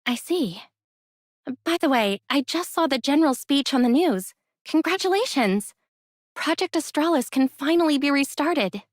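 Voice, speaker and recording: A young heroine from an anime game. Her voice sits a bit high and is closely recorded. She talks casually and kindly, with gentle teasing. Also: animated, normal speed, no room sound, studio quality. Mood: friendly. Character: easygoing young heroine, friendly and gently wry.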